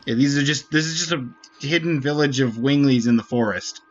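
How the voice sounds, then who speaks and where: A man's voice: mid-range voice, brisk. A man streaming a game, giving casual commentary in slightly dull audio.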